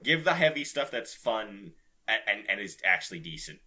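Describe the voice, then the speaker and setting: A man's voice: on the low side, big pitch swings, normal speed, a crowd in the background. A man co-hosting a podcast in casual, sweary banter, breaking into laughter often. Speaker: podcast co-host.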